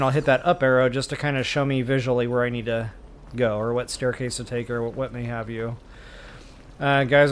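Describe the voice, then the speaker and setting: A man giving casual, rambling video game walkthrough commentary as a host. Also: medium pitch. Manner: chatty, informal, rambling.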